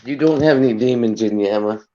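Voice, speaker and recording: A man with a raspy voice talks in a loose, rambling way, sliding into earnest advice about respect and inner energy. His voice sits at an ordinary middle pitch. The sound is dull and lo-fi. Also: fast, mellow. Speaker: livestream host. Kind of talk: casual livestream chat.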